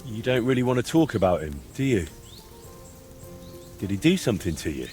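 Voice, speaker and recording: An actor playing a calm young man in a medieval game, questioning people in a measured, dryly mocking, insistent way. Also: lowish voice, faint music behind. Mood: probing. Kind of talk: video game dialogue acting.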